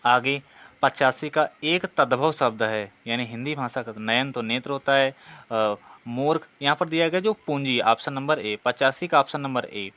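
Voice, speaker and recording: A man's voice: medium pitch, normal speed, pushed and bright. A man teaching an exam practice lesson, reading out questions and explaining the answers in a didactic way, often asking and answering himself.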